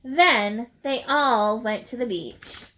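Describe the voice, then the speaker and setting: Female children's storyteller, fairly high voice, very unhurried, expressive and emphatic read-aloud delivery. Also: a little hiss or hum, low-quality recording. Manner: deliberate, emphatic, addressing young listeners. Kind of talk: expressive picture-book narration.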